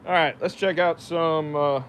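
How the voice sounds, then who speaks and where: A slightly higher-voiced American man hosts a casual DIY livestream from a workshop. He rambles in an unscripted way, half muttering to himself as he works, with some noise around him. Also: fairly even intonation, a little slow, fairly bright tone. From United States. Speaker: livestream host, DIY woodworker. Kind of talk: casual unscripted livestream chat while working. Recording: noisy workshop, machinery rumble.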